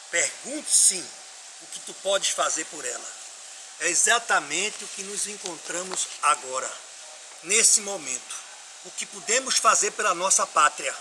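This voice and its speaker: A man's voice: sits high, pushed and bright. An older man with a Northeastern Brazilian accent from Pernambuco delivers an emphatic, oratorical opinion monologue to his followers, with rhetorical questions. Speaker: political opinion commentator. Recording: clearly noisy background.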